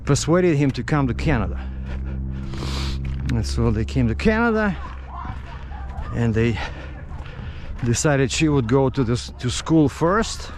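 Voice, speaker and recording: A middle-aged man with a Russian accent rambles through a casual story at an unhurried, slow pace. He often halts and searches for the next word. Light outdoor traffic noise sits behind his voice. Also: mid-range voice, lively intonation, a little mellow. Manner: halting, searching for words. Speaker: vlogger.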